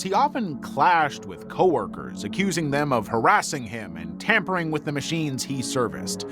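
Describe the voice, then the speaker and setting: A male narrator delivers scripted true-crime storytelling in a fairly high voice. His pitch moves in a lively way through the measured documentary script, with clear music playing behind him.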